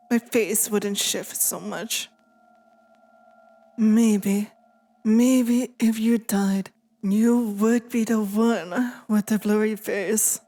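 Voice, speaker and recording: A woman's voice: mid-range voice, warm tone. A woman playing a possessive, taunting female demon in an intimate ASMR roleplay, speaking to the listener in a teasing, menacing way.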